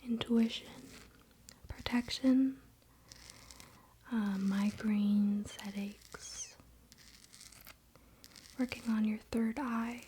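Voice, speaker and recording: A woman whispering breathily and softly in an unhurried, casual, chatty ASMR style, close to the microphone. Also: on the high side, fairly even intonation, a little hiss or hum. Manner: unhurried, soft-spoken, informal. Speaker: ASMR creator and crystal seller.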